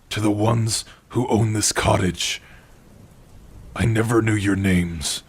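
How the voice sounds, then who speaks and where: A man voicing a gentle, protective orc in an ASMR roleplay, speaking softly and haltingly to his partner in a husky, breathy voice. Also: fairly low pitch, exaggerated voice. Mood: somber reading. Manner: soft, halting, hushed, intimate.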